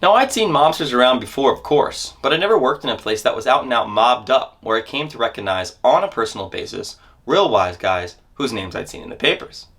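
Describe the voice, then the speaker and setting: A man's voice: medium pitch, sing-song, a little fast, gravelly, hardly any pauses, fairly bright tone. A man reading a memoir as a dramatic monologue, telling the story in scripted first person with theatrical emphasis.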